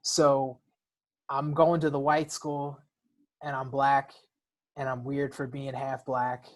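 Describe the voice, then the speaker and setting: Reflective man, halting podcast talk. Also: medium pitch, flat delivery. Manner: reflective, halting, frequent restarts and repeated words. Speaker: podcast co-host. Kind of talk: casual personal conversation.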